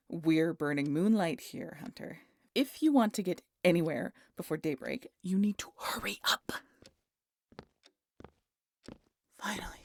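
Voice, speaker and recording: Female vampire for roleplay audio drama, played by a woman: lower-pitched, sarcastic, playful, needling her hunter companion. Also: gravelly, no echo, heavily acted. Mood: impatient. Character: teasing, sarcastic, foul-mouthed female vampire travelling with a hunter.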